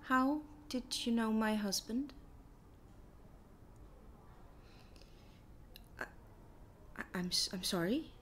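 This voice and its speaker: A woman playing an anxious, hurt wife in an audio drama, speaking softly and breathily with a hesitant, halting delivery. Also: a little high, slight background noise, close to an everyday voice. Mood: suspicious, upset. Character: hurt, anxious wife of a missing man, soft-spoken. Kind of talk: audio roleplay acting.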